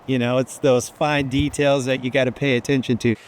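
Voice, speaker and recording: Male video creator, average pitch, relaxed-format casual vlog talk to viewers. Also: fairly quick, slight background noise.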